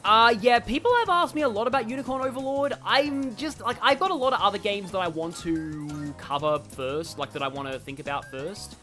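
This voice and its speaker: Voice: medium pitch, fairly quick, few pauses, a bit bright. A game streamer giving casual, rambling livestream commentary, chatting and reacting aloud to the game. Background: game music.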